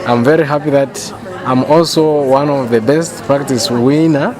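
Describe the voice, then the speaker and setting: A Zambian man gives a testimonial in a mid-pitched voice. He speaks with emphatic repetition, and there is a clear murmur of people around him outdoors. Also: moderate pace, a crowd in the background. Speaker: museum professional giving a testimonial.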